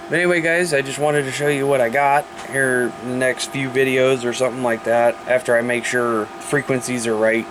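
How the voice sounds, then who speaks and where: American man, casual vlog with background hum. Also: medium pitch. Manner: colloquial, informal, thinking aloud. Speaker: hobbyist video host showing radios. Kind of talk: casual unscripted show-and-tell vlog.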